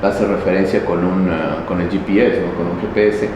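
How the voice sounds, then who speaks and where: A man explaining local history in an interview, pausing often with fillers and restarts. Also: low-pitched, fairly even intonation. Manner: halting, many fillers and restarts. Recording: very echoey, noisy, reverberant space.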